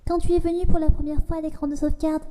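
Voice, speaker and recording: A woman playing a gentle, practical human child in a comic dub, with a soft, questioning manner. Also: sits high, little pitch movement, very fast, big-room sound, natural voice. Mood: hopeful, reasoning. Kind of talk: dramatic fan comic dub.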